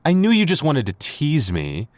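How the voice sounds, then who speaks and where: A man's voice: on the high side, wildly swooping pitch, normal speed, dry recording. A man playing a flustered young man with a secret crush in an intimate boyfriend ASMR roleplay, stammering and getting defensive. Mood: playful. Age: young adult.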